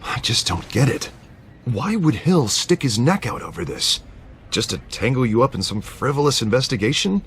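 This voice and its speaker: An actor playing a harried but loyal district attorney in a video game, frank and expressive. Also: male voice, medium pitch, normal speed. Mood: troubled. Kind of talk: cinematic game dialogue acting. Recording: clear ambient noise behind.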